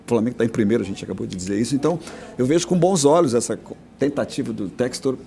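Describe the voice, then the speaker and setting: A man co-hosts a football panel, talking fast and in a chatty way. His voice is gravelly and dark, and it carries the frequent creak of someone speaking quickly and informally. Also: mid-range voice, slight background noise. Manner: fast and chatty, with many tag questions. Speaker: sports show co-host. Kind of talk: casual football panel talk.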